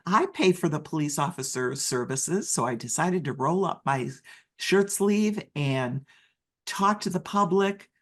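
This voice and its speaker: An interpreter voicing a deaf speaker's personal story in the first person, in halting phrases full of restarts and self-corrections. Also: medium pitch.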